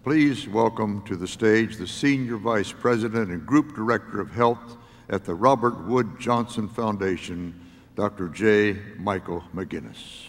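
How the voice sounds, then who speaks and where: A man's voice: lowish voice, a little slow. A man reading a scripted announcement as the presenter of an awards ceremony. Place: large hall at an awards ceremony. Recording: echoey hall, audience noise.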